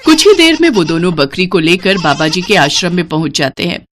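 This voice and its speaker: A woman's voice: on the low side, very animated, brisk, fairly bright tone, slight background noise. A woman storyteller reading scripted narration for a tale.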